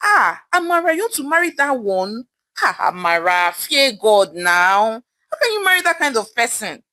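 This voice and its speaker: A woman's voice: on the high side, big pitch swings, normal speed, sharp tone, no room sound, clean studio sound. A woman voicing a worried, disgusted young friend in a folktale, exclaiming reproachfully with a Nigerian accent. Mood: alarmed. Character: alarmed, disgusted young woman friend scolding her roommate.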